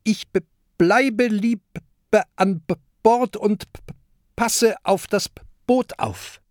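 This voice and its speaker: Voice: mid-range voice, takes it slowly, darkish tone, dry recording, clean studio sound, voice pushed up, close to an everyday voice. A narrator voicing a proud but timid male submarine captain who stutters on the first sounds of words, in a storybook reading. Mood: nervous. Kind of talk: storybook character voice.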